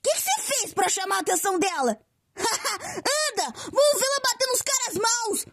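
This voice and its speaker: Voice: very high-pitched, animated, moderate pace, pushed and bright, no room sound, clean studio sound, full character voice. A performer voicing an eager, hero-worshipping young monster kid in a game story, gushing with enthusiasm. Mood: thrilled. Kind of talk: dramatic character voicing for a story.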